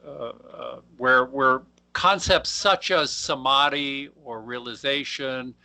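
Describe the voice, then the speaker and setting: A man hosting an interview, asking questions in a halting way and rephrasing mid-sentence. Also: mid-range voice, creaky, sharp tone. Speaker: interview host. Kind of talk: conversational interview.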